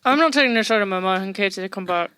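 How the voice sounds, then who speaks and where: A woman's voice: mid-range voice, rapid-fire, bright, forceful tone, smooth, no echo. A woman improvising casually as a player in a tabletop role-playing game. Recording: music bed behind the voice.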